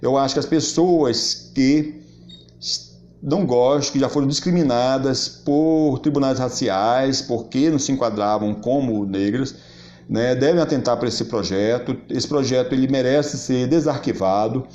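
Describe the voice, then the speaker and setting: A man's voice: medium pitch, a little slow, a little mellow. A man giving loose spoken commentary, circling back with frequent restarts and self-corrections. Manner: rambling, many restarts and "quer dizer". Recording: dull lo-fi recording.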